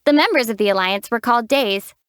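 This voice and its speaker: An actress voicing a calm, wry young female traveler hero in a video game, giving dry teasing explanations and sharp battle shouts. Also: fairly high pitch, brisk, clear, resonant tone, dry recording. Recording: dry close studio voice.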